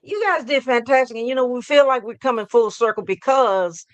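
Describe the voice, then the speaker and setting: A hoarse woman with a fairly high voice hosts a live sports fan show. She talks in upbeat, rambling bursts and repeats her hype lines. The sound is dry and close. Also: brisk, dry recording. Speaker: host.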